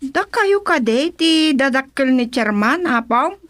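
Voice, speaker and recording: A cheerful, amused village woman in a radio comedy drama. She speaks in a high voice with animated swings of pitch and a slow, respectful delivery. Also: dry recording, clean studio sound.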